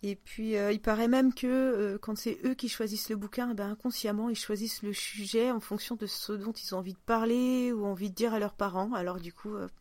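A woman speaks as the host of a podcast in casual conversation. Her pitch sits in the middle range, and the sound is dry with very little room. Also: steady tone, fairly quick, hardly any pauses, a little mellow, no room sound. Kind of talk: casual chat.

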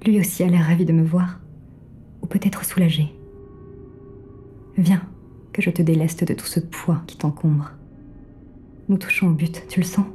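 An actress playing a gentle, mysterious, devoted female companion, speaking an intimate audio fiction monologue in a soft, soothing manner. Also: on the low side, steady tone, a little slow, pauses often, soft background music, natural voice. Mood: tender relief. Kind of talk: scripted first-person audio fiction monologue.

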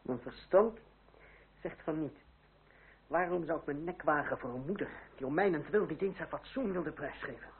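An actor playing a proud, sharp-witted young republican gentleman in a period radio drama, with formal, sardonic, measured speech. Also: on the high side, vocal fry, husky, full character voice. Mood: wry, resigned. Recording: old muffled radio play, hum, narrow band.

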